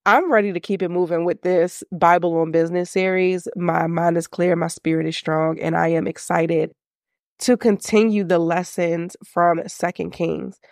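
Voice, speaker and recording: A woman's voice: on the low side, steady tone, normal speed, a little mellow, no room sound, studio quality. Congested, nasal woman podcast host. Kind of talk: faith-based business podcast talk.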